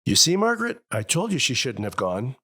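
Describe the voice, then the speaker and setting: A man's voice: medium pitch, huge pitch swings, fairly quick, sharp tone, a little hiss or hum. An actor playing a middle-aged, sentimental and easily exasperated husband and father in an old radio family comedy. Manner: blustering, hesitant when sincere.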